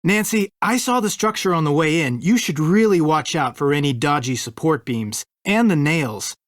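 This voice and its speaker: A man's voice: a little high, expressive, normal speed, no echo, clean studio sound, close to an everyday voice. A man playing an earnest, cautious, bookish young detective in a video game, careful and informative. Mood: concerned.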